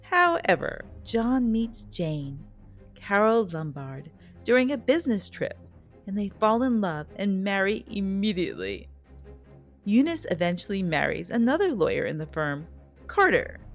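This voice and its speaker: Woman, documentary narrator and host, scripted delivery, medium pitch, faint music behind. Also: sing-song, a little slow. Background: soft background music.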